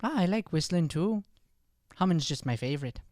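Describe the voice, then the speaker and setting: A man's voice: fairly high pitch, lively intonation, normal speed, darkish tone, no room sound, clean studio sound, lightly acted. A man playing a shy, solitary young boy in an improvised radio play, naive and tentative, with an Irish accent. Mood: shy, friendly. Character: Cedric, shy solitary boy who likes humming. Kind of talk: improvised old-time radio play acting.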